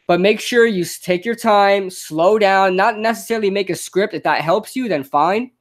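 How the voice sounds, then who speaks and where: A young man, a podcast host with a slight stutter, giving rambling, unscripted advice that is full of quick restarts. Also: high-pitched. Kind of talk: casual unscripted advice monologue.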